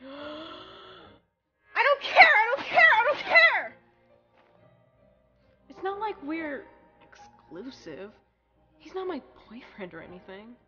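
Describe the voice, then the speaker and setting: Woman acting a jealous high-voiced nymph, slow and dramatic. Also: sing-song, lo-fi sound, music in the background, full character voice. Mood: furious, crying. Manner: emotional, swinging from brooding to outbursts. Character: jealous, insecure, hot-tempered red nymph woman, smoker. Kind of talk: dramatic webcomic dub acting.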